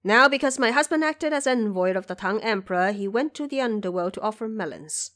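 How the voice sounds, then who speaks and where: A woman's voice: mid-range voice, expressive, a little fast, a bit bright, natural voice. A woman voicing an indignant, proud and bewildered village wife in an audio drama, emphatic and scolding.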